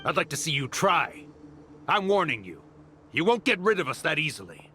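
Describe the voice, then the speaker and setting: An actor playing a sly, defiant desert mercenary leader in a game, with a goading, persuasive way of talking. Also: male voice, on the high side, sing-song, normal speed, sharp tone, slight background noise. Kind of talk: scripted game dialogue.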